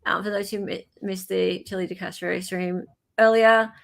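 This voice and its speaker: A woman's voice: medium pitch, fairly even intonation, normal speed, vocal fry. An Australian woman host giving casual live commentary, musing aloud in an informal way.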